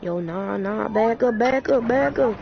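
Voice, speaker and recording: A woman playing a VR game for her channel, trash-talking the characters in playful slang and getting loud. Her voice sits a bit high. The mic sounds muffled and lo-fi under a strong hiss. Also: measured pace, creaky. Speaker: gaming YouTuber.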